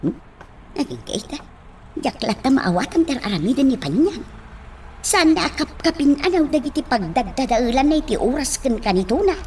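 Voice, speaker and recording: A dutiful, caring daughter, as an actress plays her in a radio drama, full of worried questions. Her voice is high, with a faint hiss underneath. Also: sing-song, normal speed, a little mellow.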